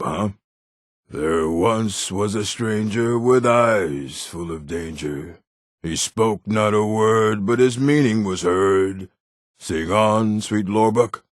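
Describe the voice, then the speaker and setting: A showy orc bard for a video game, deep husky voice, boastful and sing-songy. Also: male voice, animated, takes it slowly, dry recording, clean studio sound. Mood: boastful, jovial. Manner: theatrical, sing-song, boastful. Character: jovial, vain orc bard with theatrical flair. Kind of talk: video game character acting.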